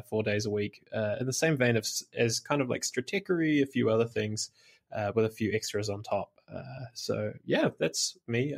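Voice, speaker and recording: A man with a New Zealand accent, a podcast guest chatting casually on a call, laughing often and saying "like" a lot. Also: on the low side. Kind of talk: casual interview chat.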